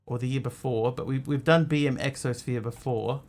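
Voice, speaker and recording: A man's voice: mid-range voice, fairly even intonation, moderate pace, a little mellow. A man who grew up in Australia, chatting casually to his stream audience in a rambling, hesitant way with lots of ums and restarts. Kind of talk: casual live-stream chat.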